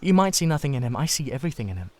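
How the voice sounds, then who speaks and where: He is an earnest, idolising artist, acted out by a man in a dramatised classic novel. His mid-range voice is fervent and confessional, halting as he searches for words. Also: mid-range voice, very animated, darkish tone, natural voice. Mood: guarded, troubled. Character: earnest, intense, idolising male painter. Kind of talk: dramatised literary audiobook reading.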